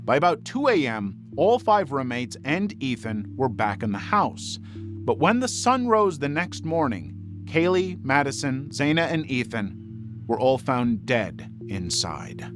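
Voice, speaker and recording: A man's voice: mid-range voice, sing-song, measured pace. A man reading scripted true crime documentary narration. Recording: faint music bed behind narration. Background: music.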